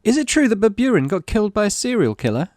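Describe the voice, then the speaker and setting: Sly schoolgirl read by a man, raised pitch, insinuating, theatrical, horror visual novel. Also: very animated, quick pace, a little mellow, no echo, clean studio sound. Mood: prying. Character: sly, dramatic schoolgirl stirring up fear of a killer.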